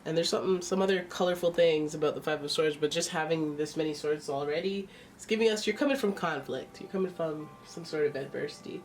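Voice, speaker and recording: A woman's voice: deep voice, fast talker, recorded from a distance, soft background music. A woman with a stuffy nose giving a casual, chatty tarot reading, exclaiming and thinking aloud as she turns the cards. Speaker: tarot reader.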